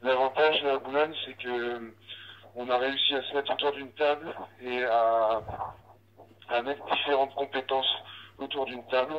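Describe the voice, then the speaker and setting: Casting: male ham operator, average pitch, informal and halting delivery, muffled handheld radio sound. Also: steady tone, a little hiss or hum. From northern France. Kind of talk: casual radio chat, explaining. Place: outdoors in the street, walking. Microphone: handheld radio transceiver. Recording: dull, band-limited digital ham radio link.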